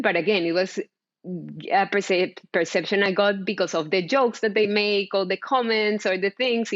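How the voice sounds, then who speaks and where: Woman, lo-fi sound. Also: mid-range voice. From Colombia. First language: Spanish. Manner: searching, with many restarts and "right?". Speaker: panelist, DEI practitioner. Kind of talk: personal story in panel talk. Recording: dull lo-fi sound.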